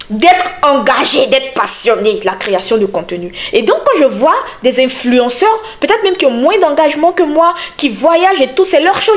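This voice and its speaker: A young woman talking casually to her listeners on a podcast, animated and emphatic, speaking straight to them. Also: high voice. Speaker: podcast host and content creator.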